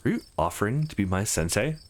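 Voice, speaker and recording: A man's voice: on the low side, sing-song, fast. A man voicing a guarded, brooding man with dry wit and terse delivery in a visual novel. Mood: dry, joking.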